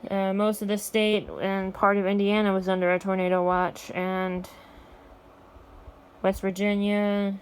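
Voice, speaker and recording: Flat-toned American woman chatting offhandedly. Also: medium pitch, measured pace, slight background noise. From United States. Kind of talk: casual vlog chat.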